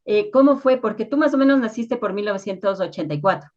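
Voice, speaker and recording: A woman's voice: mid-range voice, lively intonation, quick pace, darkish tone. A woman asking conversational questions as an interviewer. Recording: slightly dull lo-fi sound.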